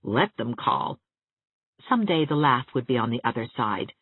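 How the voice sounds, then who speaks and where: A woman reading literary fiction aloud as an audiobook narrator, with an expressive storytelling delivery. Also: a bass voice, moderate pace, slightly rough, no echo, phone-quality sound.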